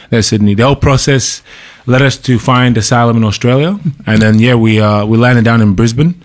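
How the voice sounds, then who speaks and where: Man, young adult, from Zambia, radio studio guest, relaxed and chatty, laughs easily. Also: medium pitch, normal speed. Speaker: actor guest. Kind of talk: casual radio interview.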